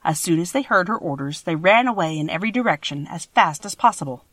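A woman's voice: on the low side, lively intonation, fast talker, fairly bright tone, dry recording. A woman reading a children's story as scripted audiobook narration.

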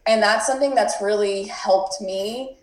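A woman's voice: mid-range voice, unhurried, roomy sound. A woman in her mid-twenties, from the United States, talking candidly in a casual chat, swearing freely and filling her talk with "like". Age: 20s.

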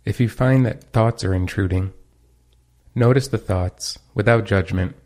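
A man leading a guided meditation, giving calm, gentle instructions with pauses. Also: on the low side.

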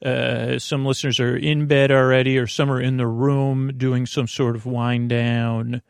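A man hosting a bedtime podcast in a rambling, halting monologue, whimsical and self-deprecating, drifting into digressions and losing his thread. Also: mid-range voice, level tone, vocal fry, smooth, no room sound, clean studio sound. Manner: hesitant, halting, with frequent digressions and lost trains of thought. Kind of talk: rambling, meandering monologue to listeners.

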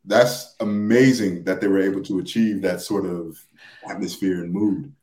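A man in a casual podcast discussion who talks haltingly, searching for his words. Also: sits low, fairly even intonation, a little mellow, reverberant, soft background music. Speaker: podcast speaker.

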